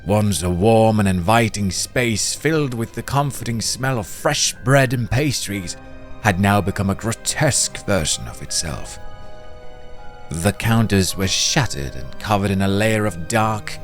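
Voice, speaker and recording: Deep, slow male storyteller, lively and dramatic delivery, with music underneath. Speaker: narrator. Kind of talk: scripted audiobook narration. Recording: narration over music bed.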